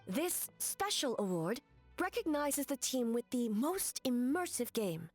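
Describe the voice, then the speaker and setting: A woman's voice: a little high, very animated, a little slow, darkish tone, soft background music. An actress playing a solemn, composed female shogun, stately and ceremonious in scripted game dialogue. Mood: solemn, regal.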